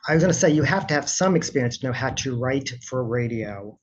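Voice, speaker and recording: A man's voice: medium pitch, expressive, fast talker, highs cut off, a little hiss or hum. A man interviewing a guest conversationally, with loose, informal phrasing.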